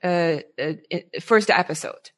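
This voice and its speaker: A woman conducting a casual interview, phrasing her thoughts haltingly and repeating words as she goes. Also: on the low side, fairly bright tone.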